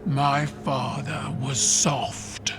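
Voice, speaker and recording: Imperious alien empress, proud and menacing, stately slow delivery, game cutscene with clear music behind. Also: male voice, medium pitch, level tone. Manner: stately, commanding, deliberate. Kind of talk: dramatic game cutscene acting. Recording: cinematic music underneath.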